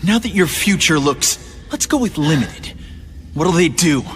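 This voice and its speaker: A quippy, high-voiced young superhero. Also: male voice, expressive, a little slow, fairly bright tone, in a large hall. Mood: teasing, pressing. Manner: quippy, teasing. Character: wisecracking young male superhero, cocky but earnest. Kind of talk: video game cutscene acting.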